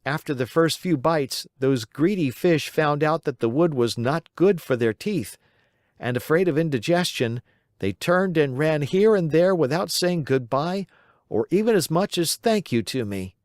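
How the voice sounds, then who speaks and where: Guy putting on a high cheeky wooden puppet boy, bouncy and playful, dry close sound. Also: lively intonation, measured pace, no echo. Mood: storytelling.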